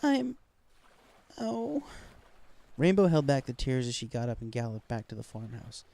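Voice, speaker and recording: Mellow, creaky-voiced male story narrator. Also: medium pitch, fast talker. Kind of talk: scripted fan fiction narration.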